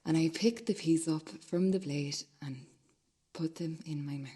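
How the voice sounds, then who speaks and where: A woman acting an uneasy, obsessive loner in a radio play monologue, hesitant and rambling, with a husky, breathy voice. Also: low-pitched, a little slow, warm tone. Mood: self-doubting.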